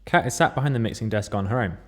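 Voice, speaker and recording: Guy reading screenplay directions at a table read, lowish voice, talks fast. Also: fairly bright tone. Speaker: narrator reading stage directions. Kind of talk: scripted narration at a table read.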